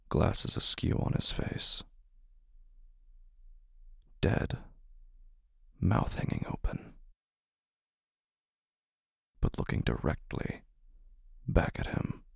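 A man narrating a scripted horror story in a deliberate, ominous, dramatic manner, pausing between short phrases. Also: sits low, gravelly, slightly rough, no room sound, studio quality. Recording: loud music under the voice. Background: music.

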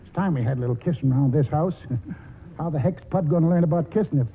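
An actor playing a cantankerous but kind-hearted old country grandfather in a 1940s radio play, gruff and rough-spoken. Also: fairly high pitch, fast talker. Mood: gruffly kind. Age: older. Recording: tinny, noisy old radio broadcast.

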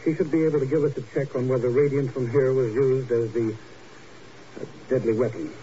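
An actor as an urbane hospital doctor with a mellow, medium-pitched voice. He speaks formally and measuredly, courteous but guarded and a touch patronizing. It is a muffled, noisy 1950s radio recording. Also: steady tone, brisk, close to an everyday voice. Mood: smooth, guarded. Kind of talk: 1950s radio drama acting.

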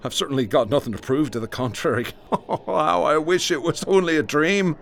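A man's voice: on the high side, normal speed, creaky, a bit bright, a little hiss or hum. An actor playing a haunted, traumatized man who recounts nightmarish horrors in a florid, intense, theatrical radio drama delivery. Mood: bitter. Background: music.

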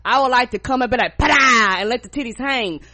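A woman's voice: on the high side, a little fast. Congested, profane woman co-host. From rural United States. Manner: profane, animated storytelling. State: congested from a cold. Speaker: podcast co-host. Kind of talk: casual podcast chat.

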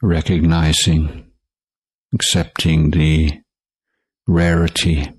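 A man teaching guided meditation in a calm, measured way, leaving long pauses between short phrases. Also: a bass voice, breathy edge. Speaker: Buddhist monk meditation teacher.

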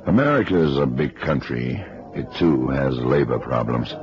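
An actor playing a calm, shrewd investigator who speaks with unhurried authority in an old radio drama. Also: male voice, rumbling low, dull audio. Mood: sober. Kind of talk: 1970s radio drama acting.

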